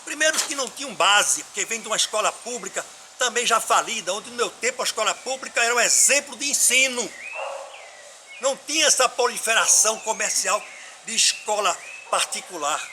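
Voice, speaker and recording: A man's voice: sits high, lively intonation, brisk. An older man with a Northeastern Brazilian accent from Pernambuco delivers an emphatic, oratorical opinion monologue to his followers, with rhetorical questions. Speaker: political opinion commentator. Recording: clearly noisy background.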